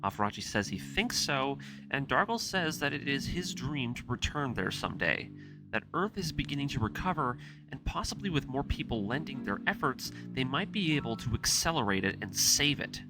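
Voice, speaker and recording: A man's voice: medium pitch, sing-song, normal speed. A man reading a scripted story recap as the narrator of a video essay. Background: music.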